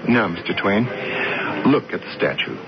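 A man's voice: on the low side, expressive, normal speed, very noisy. An actor playing an obsessed, wealthy American businessman who insists he is a sculptor, speaking in an agitated, stammering way in a radio drama. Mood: insistent. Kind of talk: 1970s radio drama acting. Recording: dull lo-fi radio broadcast.

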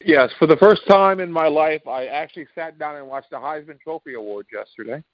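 A man's voice: fairly high pitch, a little fast, no echo. A man on a talk show panel, debating casually in an insistent, argumentative way. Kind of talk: casual sports and current affairs debate. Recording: dry, dull lo-fi audio.

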